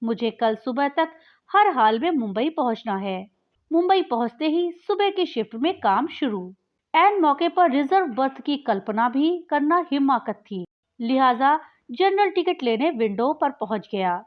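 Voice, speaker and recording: A woman narrating a scripted short story in an expressive, dramatic storytelling manner. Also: high-pitched, a little slow. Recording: dull, lo-fi sound.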